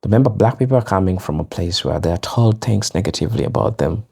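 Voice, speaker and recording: A man's voice: deep voice, animated, fast, creaky, slightly rough. A man hosting his own video channel, greeting viewers as brothers and sisters. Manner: warm formal address to viewers. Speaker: host. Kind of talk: channel commentary.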